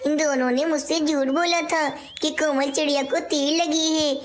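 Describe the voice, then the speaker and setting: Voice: high voice, fairly even intonation, moderate pace, slight background noise, close to an everyday voice. A performer voicing a talking bird in a children's cartoon tale, speaking in simple storybook dialogue. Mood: pleading.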